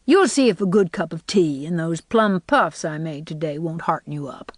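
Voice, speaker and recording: Woman reader as a stern but kind older guardian, brisk, dry close mic. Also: on the low side, big pitch swings, no room sound. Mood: brisk gentle. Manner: brisk, no-nonsense, gentle underneath. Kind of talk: storybook character reading.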